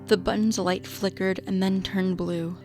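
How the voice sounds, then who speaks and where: A woman's voice: mid-range voice, little pitch movement, measured pace. A woman narrating a scripted radio drama, with music playing under her voice. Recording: loud music bed under voice.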